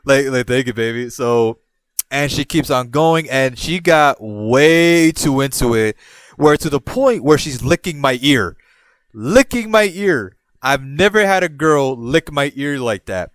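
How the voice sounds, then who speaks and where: A man's voice: medium pitch, measured pace, no echo. A young man from Puerto Rico hosting a podcast, telling a rambling story casually and jumping into quoted voices. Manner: animated, jumps into quoted voices, rambles and restarts. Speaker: podcast host.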